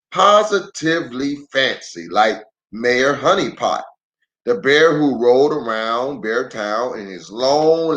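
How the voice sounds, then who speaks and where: A middle-aged man, a pastor, reading a children's storybook aloud with playful, chatty asides to the young listeners. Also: mid-range voice. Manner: expressive, playful, addresses children directly.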